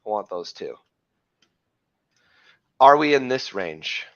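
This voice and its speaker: A man hosting a live crypto trading show, talking through chart analysis in a rambling way, correcting himself, with a slightly hoarse voice from losing it. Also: medium pitch, big pitch swings, slow, fairly bright tone, no room sound, lo-fi sound. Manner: rambling, self-correcting, frequent "right?" checks.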